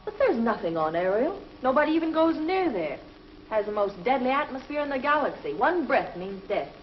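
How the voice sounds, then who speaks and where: A woman's voice: high voice, very animated, brisk, slight background noise. An actress playing an anxious young woman navigator who keeps warning of danger, in a 1950s TV drama, on a muffled old soundtrack.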